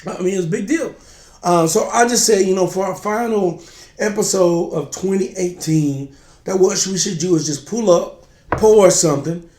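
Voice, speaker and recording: A man with a slightly raspy, congested voice chatting casually as co-host of a drinks review show. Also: high voice, normal speed, darkish tone. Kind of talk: casual chat. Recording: roomy, echoey sound.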